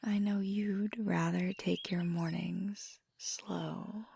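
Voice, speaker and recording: A woman playing a feisty, affectionate magical girlfriend in an intimate ASMR roleplay, speaking softly and tenderly, often near a whisper. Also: fairly low pitch, steady tone, slow, creaky, slight background noise, exaggerated voice. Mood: warm, affectionate. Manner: tender, teasing, lapsing into whispers.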